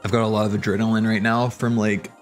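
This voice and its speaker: Low-voiced guy rambling casually on stream. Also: flat delivery, quick pace. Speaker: livestream host. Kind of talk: casual livestream commentary on game cutscenes. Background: game soundtrack music.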